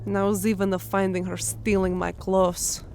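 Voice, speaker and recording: A woman's voice: mid-range voice, flat delivery, normal speed, darkish tone, lightly acted. A woman voicing a shy, aggrieved female fantasy creature who complains plaintively in quirky, oddly built sentences. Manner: quirky non-native-like grammar, plaintive complaints. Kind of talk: fantasy audio story character acting.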